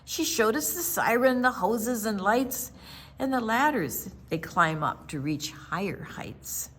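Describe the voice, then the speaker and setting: A woman with a fairly high voice reads a rhyming picture book to children. She takes it very slowly, leaning on key words and pausing for drama. Her delivery is expressive and theatrical, like a librarian at storytime. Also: husky. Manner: theatrical, with dramatic pauses, gasps and emphasis.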